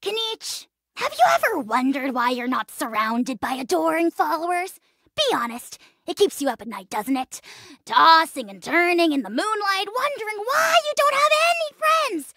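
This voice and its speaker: Shrill, creaky little dragon tyrant. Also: female voice, big pitch swings, normal speed, sharp tone, no echo, clean studio sound, exaggerated voice. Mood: gleeful, taunting. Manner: pompous, haughty, theatrical, insulting. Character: tiny arrogant dragon lord, bombastic, spiteful, easily enraged. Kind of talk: video game character voice acting.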